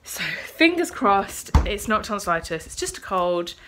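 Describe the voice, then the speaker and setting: A woman's voice: medium pitch, big pitch swings, normal speed. Chatty British woman with a cold. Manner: chatty, lots of ums. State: congested with a cold, a bit out of breath. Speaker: vlogger. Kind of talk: casual vlog chat. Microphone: phone camera. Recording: phone recording with light background noise.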